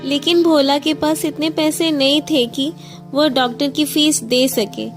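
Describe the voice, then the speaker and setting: A woman's voice: high voice, steady tone, unhurried, soft background music. A woman reading scripted narration for a moral story as the storyteller.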